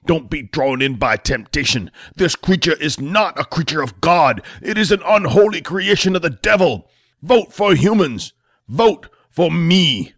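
A man voicing a heavyset, enraged male senator who rants in slow, emphatic oratory. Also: medium pitch, very animated, a bit bright, dry recording, studio quality, voice pushed up. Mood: ranting.

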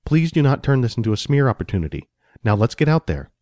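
A man voicing a young female stage assistant, brisk and businesslike. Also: medium pitch, big pitch swings, dark tone, dry recording, close to an everyday voice.

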